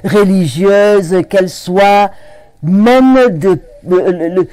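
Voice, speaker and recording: Cameroonian French woman, TV guest, slow and halting, warm dark tone, dry sound. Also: mid-range voice, dry recording, poor audio. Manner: halting, many repetitions and restarts. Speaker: regional councillor, guest. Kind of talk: TV studio interview. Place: TV studio set.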